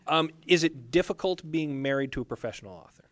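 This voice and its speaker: A man's voice: on the high side, animated, quick pace, a bit bright, no echo. A man hosting a podcast, conducting a casual live interview in an informal, joking way.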